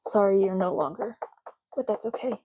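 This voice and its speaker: A woman speaks softly in an ASMR roleplay, with a warm, mellow tone. She explains things gently in short, unhurried phrases, like a patient clerk going through options. The recording is a little dull. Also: medium pitch, dry recording. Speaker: ASMR creator playing an ID card clerk. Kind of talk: ASMR roleplay, soft-spoken.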